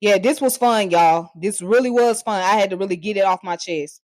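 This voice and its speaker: Woman streamer, mid-range pitch, heated mocking tirade, dry close recording. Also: fairly quick, no room sound. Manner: heated, profane and mocking, with scornful laughs; confrontational, addressing viewers directly. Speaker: online streamer. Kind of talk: ranting live commentary.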